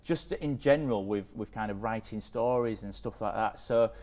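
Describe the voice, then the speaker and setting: A man's voice: on the low side, normal speed, darkish tone. A man acting as interviewer in a casual conversation, talking informally and in a roundabout way. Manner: informal, roundabout, frequent hedging phrases.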